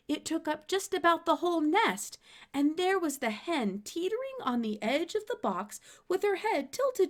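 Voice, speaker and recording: A woman reading a children's storybook aloud in an expressive narrating style. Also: a little high. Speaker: storybook reader.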